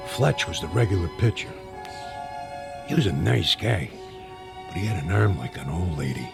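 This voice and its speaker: An older actor playing a talkative former ballplayer who rambles through an old story, in a radio drama. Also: male voice, deep voice, expressive, moderate pace, vocal fry, over music. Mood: reminiscing.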